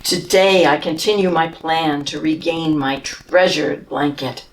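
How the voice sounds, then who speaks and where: A woman's voice: deep voice, measured pace, recorded from a distance, slight background noise, low-quality recording. A woman reading as a pompous, whining camel. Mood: self-pitying. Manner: grandiose, whining, theatrical complaints. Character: pompous self-pitying male camel. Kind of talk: storybook reading.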